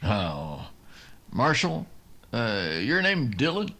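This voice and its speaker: A man as a slow-drawling Texan cowboy drifter. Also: mid-range voice, huge pitch swings, bright, forceful tone, full character voice. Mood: sheepish. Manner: folksy, drawling. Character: easygoing, boastful Texan drifter just sobered up. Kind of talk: old-time radio western acting.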